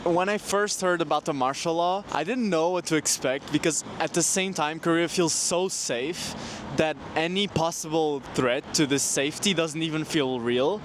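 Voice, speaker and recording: A man's voice: a little high, normal speed. A twenty-year-old man from Portugal, answering casually in an outdoor street interview. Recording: outdoor street with faint traffic noise.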